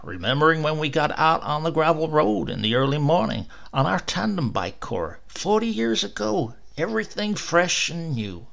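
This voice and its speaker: A man voicing a greying old husband, dreamy and excitable, who enthuses wistfully. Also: on the high side, moderate pace, vocal fry, few pauses, pitched above the performer's own voice, heavily acted. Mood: flustered. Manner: enthusing, wistful, hesitant when caught.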